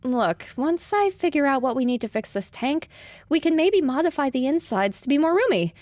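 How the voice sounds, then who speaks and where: A small, bossy young mare played by a woman in an audio drama, high-pitched and very animated. She talks briskly and sarcastically, in a close, dry recording. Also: no echo. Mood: brisk, matter-of-fact. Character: small young mare, feisty tinkerer heroine, bossy, exasperated.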